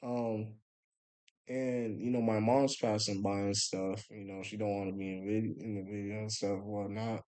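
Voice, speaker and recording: A man's voice: on the low side, little pitch movement, moderate pace, a little mellow. A young man telling a rambling story in a laid-back, casual way, filling it with "bro" and "you know" and often trailing off into a mumble. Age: young adult. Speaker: vlogger.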